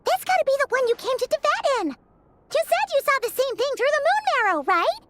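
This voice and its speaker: A woman voicing a small, perky, childlike fairy companion in a video game, with a squeaky voice and an exclamatory manner. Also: big pitch swings, brisk, a bit bright, heavily acted. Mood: surprised. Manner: refers to self in third person, exclamatory.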